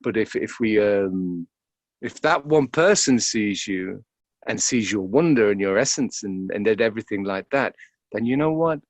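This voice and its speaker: A man with a low voice gives reflective, informal interview answers. He searches for his phrasing, stopping and repeating words as he goes. The sound is very dry, with no room around it. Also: expressive, normal speed, no room sound. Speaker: interview guest, actor.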